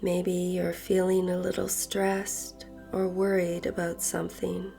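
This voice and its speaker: A woman leading a guided meditation in a soft voice that turns breathy at phrase ends, gentle and unhurried with long pauses. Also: on the low side, monotone. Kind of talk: guided meditation, slow and soothing narration. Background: soft background music.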